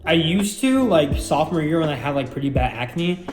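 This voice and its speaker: A man's voice: a little high, lively intonation, normal speed. A young man in his late teens, rambling casually through viewer questions with lots of fillers and self-deprecating asides. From United States. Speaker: YouTuber answering viewer questions. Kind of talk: casual Q&A chat. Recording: roomy room with background music.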